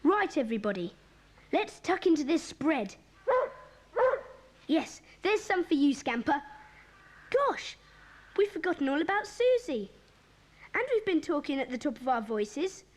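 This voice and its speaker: A woman playing a bossy, excitable schoolboy club leader in a children's audio drama, with a commanding, eager manner. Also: high voice, moderate pace, pauses often.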